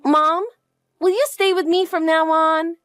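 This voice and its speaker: Woman doing a clingy, high-voiced little girl. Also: fairly even intonation, a little slow, a bit bright, dry recording. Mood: hopeful. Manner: pleading, eager questions. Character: young girl, anxious and clingy.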